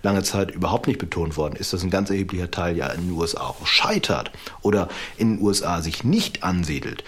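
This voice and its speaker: A man's voice: low voice, expressive, fairly quick, husky. A man speaking as a history expert in an interview, explaining things in an academic, carefully qualified way.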